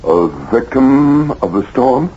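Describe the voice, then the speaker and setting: An actor playing a brooding, stubborn professor in a radio mystery, by turns halting and insistent. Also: male voice, medium pitch, lively intonation, slightly rough. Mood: uneasy. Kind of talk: radio mystery drama acting. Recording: muffled, noisy old radio broadcast.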